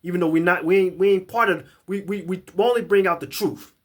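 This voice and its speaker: Man, slightly high voice, Bible teacher, emphatic, repeats himself, reads verses aloud to camera. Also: fairly quick, a bit bright. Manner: emphatic, repeats and restarts words while reading verses. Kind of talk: scripture lesson to camera.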